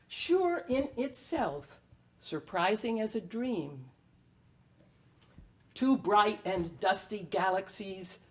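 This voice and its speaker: A woman reading her own poetry aloud at a live event, in measured and expressive phrases with deliberate pauses. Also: mid-range voice. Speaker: poet reading own work. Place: live public reading at a library.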